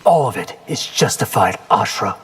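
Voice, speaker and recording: A man's voice: fairly low pitch, big pitch swings, moderate pace, a bit bright, breathy edge, full character voice. A man playing a young ninja warrior in a fighting game, wry, cocky and casual. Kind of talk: fighting game character acting.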